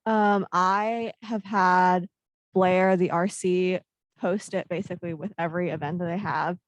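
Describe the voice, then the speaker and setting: A woman, a student senator, giving a halting report with many ums at a meeting over a video call. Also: lowish voice, steady tone, dry recording, highs cut off.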